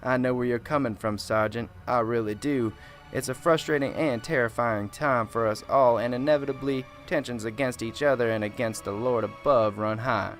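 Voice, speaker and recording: A man playing a soft-spoken, pious small-town reverend who rambles earnestly, in an amateur radio drama, with faint music behind. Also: medium pitch, normal speed, lightly acted. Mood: solemn. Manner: gentle, pious and wordy.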